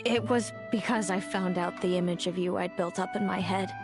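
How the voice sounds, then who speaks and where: An actress playing a bitter, tormented fae older sister in a video game, speaking in a dramatic, wounded, confessional way. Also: female voice, lowish voice, fairly even intonation, moderate pace, natural voice. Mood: regretful confession. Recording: music under the voice. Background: background music.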